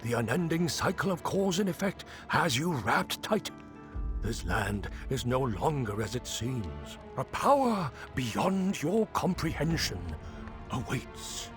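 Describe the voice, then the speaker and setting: A cryptic bearer of warnings from a video game. He speaks slowly and wryly, with animated, portentous swings in pitch, over clearly heard music. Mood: ominous warning. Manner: wry, portentous. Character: cryptic, knowing man giving ominous warnings. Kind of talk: video game cutscene acting.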